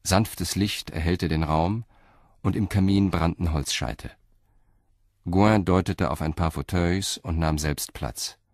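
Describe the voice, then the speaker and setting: A man narrates a crime radio play in a calm, even way. His very deep voice is recorded close and dry, with no room sound. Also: slow pace, no echo.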